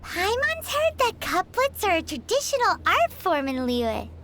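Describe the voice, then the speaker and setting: A woman's voice: extremely high pitch, wildly swooping pitch, unhurried, bright, forceful tone, a little hiss or hum, exaggerated voice. A woman voicing a tiny, cheeky floating companion creature in a video game, bubbly and teasing, talking about herself in the third person. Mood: chatty.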